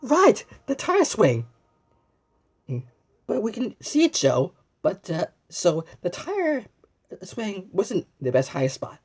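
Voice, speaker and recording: Animated, slightly husky woman reading a storybook. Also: mid-range voice, faint music behind. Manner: exclamatory, asks the listener questions and pauses as if answered; halting, often repeats and restarts words. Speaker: storybook reader. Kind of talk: animated children's read-aloud with asides to the viewer.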